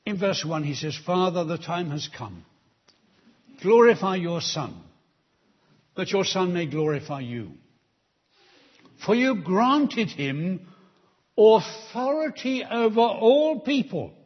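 A man preaches slowly and emphatically, his fairly high voice rising and falling widely as he builds his appeals. The tone is a little husky. The recording is dull and lo-fi, as if taken in a church. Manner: slow, emphatic pulpit delivery with rising appeals. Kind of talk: sermon.

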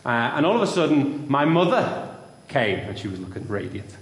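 A young man preaching and telling a story in a church, with a loud voice. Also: mid-range voice, sing-song, normal speed. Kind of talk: sermon with story. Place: church hall. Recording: roomy church with long reverb.

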